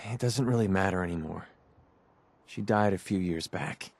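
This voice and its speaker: A man's voice: deep voice, moderate pace, a little mellow, no echo. An American man playing a weary, sincere young mercenary in a video game, earnest and hesitant as he confides. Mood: regretful, grieving. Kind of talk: video game dialogue acting.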